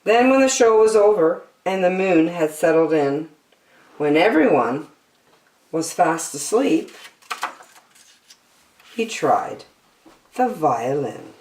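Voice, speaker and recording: A woman reading a children's picture book aloud with theatrical, deliberate phrasing, in a roomy, echoey recording. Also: on the low side, sing-song, darkish tone, distant mic. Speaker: storytime reader.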